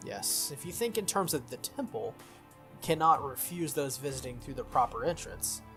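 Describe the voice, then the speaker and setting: A man voicing a formal, earnest young female knight from a visual novel, in stiff, measured phrasing. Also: medium pitch, expressive, fairly bright tone, soft background music. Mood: firm, explanatory. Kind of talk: visual novel character read aloud.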